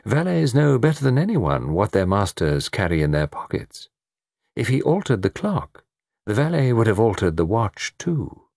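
A man voicing a calm, enigmatic gentleman in an audiobook, speaking in a dreamy, measured, knowing way. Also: on the low side, creaky, no room sound, clean studio sound, pitched above the performer's own voice, exaggerated voice. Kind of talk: audiobook character dialogue.

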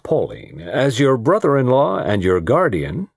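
A man voicing a commanding, jovial middle-aged guardian with a heavy, forceful delivery, in an audiobook story. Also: lowish voice, big pitch swings, a little slow, slightly rough, dry recording, studio quality, full character voice. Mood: insistent.

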